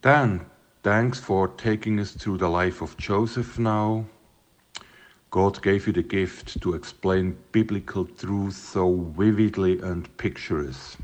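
A man's voice: low-pitched, fairly even intonation. A man in his fifties from Switzerland with a Swiss accent, giving a friendly greeting and a prayer. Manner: friendly, unhurried. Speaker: guest sending a video greeting.

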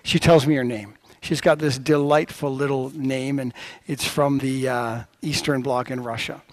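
A middle-aged man preaching a sermon as pastor, telling stories with lively swings of voice. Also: moderate pace, no echo. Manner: storytelling with lively pitch swings.

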